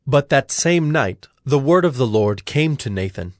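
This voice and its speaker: A man's voice: medium pitch, lively intonation, unhurried, no room sound, studio quality. A man giving scripted narration as the narrator of a dramatized audio Bible. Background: plucked guitar music.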